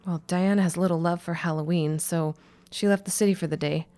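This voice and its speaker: An actress plays a practical woman shopkeeper in a period radio play. Her voice sits a bit low, and her delivery is wry, frank and matter-of-fact. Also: steady tone, a little fast, warm tone, clear, resonant tone, soft background music, natural voice. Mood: wry, casual. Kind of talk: period audio drama acting, conversational.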